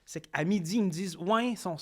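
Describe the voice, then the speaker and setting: High-voiced animated Quebec French man. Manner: animated storyteller acting out quotes, quick bursts with restarts. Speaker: comedian guest. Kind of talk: casual podcast interview storytelling.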